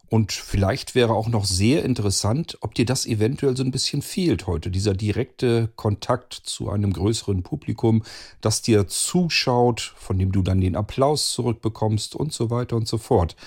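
A man's voice: on the low side, a little mellow. A man working as an interviewer, asking long, wordy questions in a conversational way.

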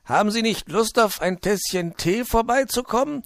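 Man acting out storybook fox, pitched well up, loud, cheery, polite, sly, coaxing neighbour. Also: lively intonation, moderate pace, no echo, studio quality. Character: friendly, brisk, sly male fox, solicitous neighbour.

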